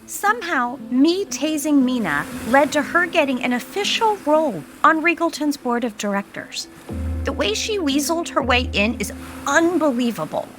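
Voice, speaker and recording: A woman's voice: high voice, sing-song, moderate pace, music in the background. An actress playing a stressed, indignant professional woman, venting in a wry, dramatic way in an audio soap opera.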